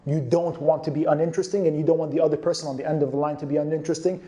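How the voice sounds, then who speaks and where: A man's voice: a little high, dark tone. A man presenting career advice in an emphatic, instructive manner, stressing and repeating key points. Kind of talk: presenting instructional tips to camera.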